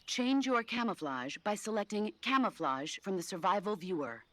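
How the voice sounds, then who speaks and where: A woman playing a composed mission support officer in a video game, explaining things clearly, patiently and firmly. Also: mid-range voice, animated, normal speed, a bit bright, dry recording, natural voice. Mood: instructive. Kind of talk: video game tutorial briefing.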